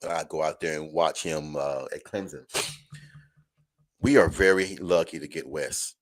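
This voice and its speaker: A man's voice: rumbling low, fairly even intonation, unhurried, no echo. A man hosting a college football show in a casual, folksy, conversational way, speaking to listeners like friends. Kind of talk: casual live sports chat.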